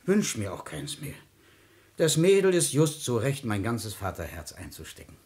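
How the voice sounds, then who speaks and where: A man's voice: mid-range voice, wildly swooping pitch, normal speed, darkish tone. An actor playing a humble, tender-hearted middle-aged musician and father in a 1950s German radio play, speaking earnestly and deferentially. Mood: kindly, deferential. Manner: earnest, plain-spoken, deferential. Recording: noisy vintage 1950s radio recording.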